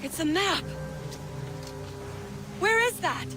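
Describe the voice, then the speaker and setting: A woman acting a composed, shrewd conspirator with a crisp, authoritative manner in a video game cutscene. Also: very high-pitched, animated, slow, music in the background, full character voice. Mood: urgent.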